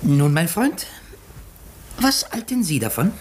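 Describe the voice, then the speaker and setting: An actor playing a shrewd, self-assured male detective, deliberate and dramatic, in a 1950s radio play. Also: a little high, big pitch swings, slight background noise. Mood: measured, reasoning.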